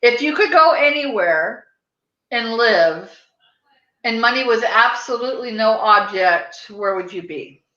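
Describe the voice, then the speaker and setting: An American woman hosts a casual podcast with a chatty, rambling delivery. Her voice is bright and forward. The recording is echoey and slightly dull, like a lo-fi room pickup. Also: on the high side, animated, unhurried. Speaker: podcast host. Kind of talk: casual chat. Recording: roomy echo, dull lo-fi sound.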